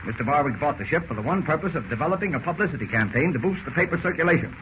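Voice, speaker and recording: An actor playing a gruff, blustering newspaper chief with a soft heart, in old radio drama style. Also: male voice, a little high, animated, very fast, natural voice. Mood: businesslike. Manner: blustering, stammering, brusque. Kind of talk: 1940s radio drama acting. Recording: muffled, noisy old radio recording.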